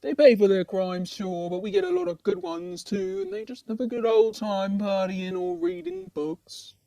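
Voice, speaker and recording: A woman's voice: on the high side, very animated, normal speed, gravelly, dark tone, dry recording. A woman playing a laid-back, chatty ordinary demon in a comic puppet show, with a casual, rambling, teasing way of talking. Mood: mock hurt.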